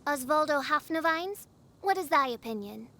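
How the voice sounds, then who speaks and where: A woman's voice: sits high, expressive, normal speed, pushed and bright, full character voice. A woman playing a theatrical teenage girl adventurer who talks like a grandiose princess in a video game, with pompous archaic diction. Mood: imperious, grandiose. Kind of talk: video game cutscene voice acting.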